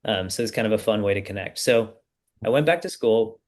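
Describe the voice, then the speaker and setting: A man in his thirties, from the United States, talks through his own story as a podcast guest. His pace is easygoing and full of ums and small asides, and his voice sits a little low. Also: brisk. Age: 30s. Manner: relaxed storytelling with frequent fillers. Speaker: startup founder guest. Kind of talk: casual podcast interview.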